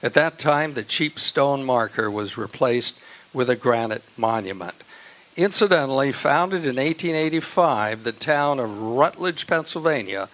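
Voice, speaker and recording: A male radio announcer with a mid-range voice reads from a script. His delivery is formal and measured, suited to credits and historical narration. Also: mid-range voice, animated, fairly bright tone.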